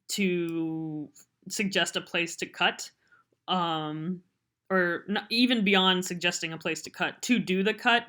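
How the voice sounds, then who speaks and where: Low-pitched woman giving a casual tutorial. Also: bright, forceful tone. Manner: rambling, informal, self-deprecating. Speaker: podcast editor giving a software tutorial. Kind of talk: casual unscripted screen-recording tutorial. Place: voice-over on a computer screen recording.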